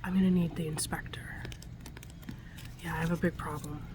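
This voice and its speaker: A woman whispering breathily in a soft, soothing, halting way as she improvises an ASMR roleplay as a gentle scientist. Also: low voice, fairly even intonation, noisy background. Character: gentle scientist tending a robot. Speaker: ASMR performer.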